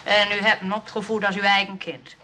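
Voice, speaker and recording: A woman playing a prim, moralising but soft-hearted nursing sister in a radio play, earnest and fretful. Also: medium pitch, level tone, brisk, slight background noise, close to an everyday voice. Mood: stern.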